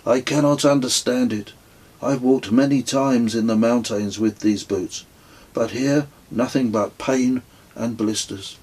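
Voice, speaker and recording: A man reading a story, voicing a huge, bearded pilgrim who complains mournfully and haltingly. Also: medium pitch, steady tone, darkish tone, husky, low-quality recording.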